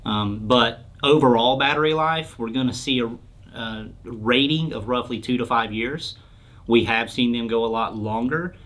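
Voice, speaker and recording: A man's voice: medium pitch, fairly bright tone. A man answering questions as a company product expert, in an informal, plain-spoken explanatory style. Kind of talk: casual explanatory interview talk.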